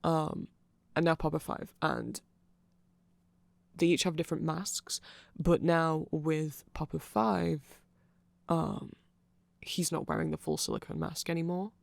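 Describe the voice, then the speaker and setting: A man's voice: on the high side, takes it slowly, vocal fry, no echo. A man giving a nerdy, rambling ASMR explanation, often correcting himself and checking in with the listener. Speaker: ASMR creator.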